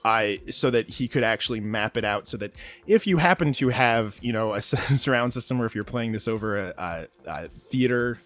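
A man's voice: on the low side, lively intonation, a little fast, a bit bright, soft background music. A man, an audio drama writer, giving detailed, earnest explanations as a podcast guest. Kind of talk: explanatory interview talk.

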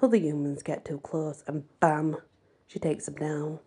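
A woman voicing a bold, defiant teenage girl in an audiobook, blunt and breathy. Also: sits low, little pitch movement, a little slow, mellow. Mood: matter-of-fact.

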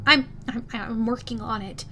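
Casting: woman as storybook boy hero, anxious and sarcastic, high register, urgent delivery. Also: fairly even intonation, normal speed, bright, forceful tone, slight background noise. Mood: uneasy. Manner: urgent, wry.

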